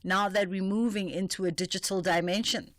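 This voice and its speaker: A woman's voice: medium pitch, fairly even intonation, brisk, no echo, studio quality. Woman radio host asking thoughtful questions. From Africa. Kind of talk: radio interview.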